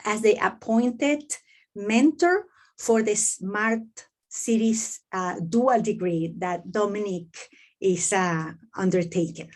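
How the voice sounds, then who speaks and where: Middle-aged woman from Venezuela, halting. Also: mid-range voice. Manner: hesitant, many fillers and restarts. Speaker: professor presenting to admitted students. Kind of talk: online info session talk.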